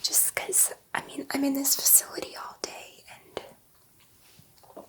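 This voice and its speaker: Whispering, gentle alien scientist played by a woman. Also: high-pitched. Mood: nervous, eager. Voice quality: soft breathy whisper. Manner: hesitant, rambling, confiding. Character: gentle, nervous, chatty female alien scientist, friendly to humans. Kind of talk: whispered ASMR sci-fi roleplay.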